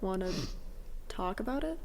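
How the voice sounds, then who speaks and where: A woman playing a supportive commander in a naturalistic audio drama, casual and gently insistent, with a gravelly, reassuring voice. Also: medium pitch, lively intonation, moderate pace, a little hiss or hum. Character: supportive, steady commander looking after younger crewmate.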